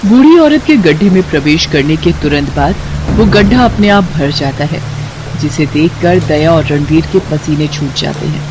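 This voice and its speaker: A woman's voice: sits low, expressive, normal speed, noisy background. A woman narrator telling a scripted story in a dramatic storytelling style.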